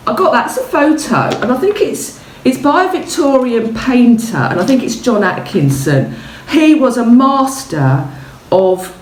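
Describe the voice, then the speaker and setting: Woman teacher in an echoey room. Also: medium pitch. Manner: chatty, explains simply, often checks with "okay". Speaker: primary school teacher. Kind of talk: informal show-and-tell lesson for children. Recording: roomy echo, distant mic, faint noise.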